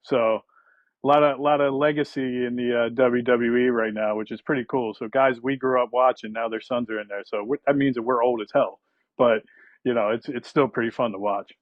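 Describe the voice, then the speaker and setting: A man's voice: medium pitch, fairly even intonation, a little fast, no echo. A man talks sports on a podcast, upbeat and full of detail. His audio is dull and lo-fi, and it sounds dry and close. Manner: knowledgeable, upbeat. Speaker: podcast co-host. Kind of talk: casual sports chat.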